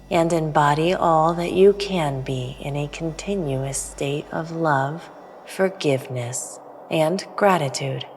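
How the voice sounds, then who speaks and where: An actress playing a serene, preachy female alien spiritual teacher in an audio drama, speaking in a solemn, measured way. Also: sits low, a little mellow. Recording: long reverb, music underneath.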